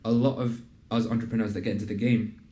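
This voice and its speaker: Low, mellow-voiced man hosting an interview. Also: level tone, fast. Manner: conversational, filler-heavy, earnest pitching tone. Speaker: host and program founder. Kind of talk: casual promotional interview and pitch. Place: in a building in a city business district.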